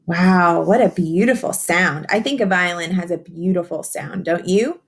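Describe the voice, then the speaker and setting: A woman's voice: fairly low pitch, normal speed, breathy edge. A woman reading a picture book aloud to young children like a teacher, encouraging them with simple questions and chatty explanations.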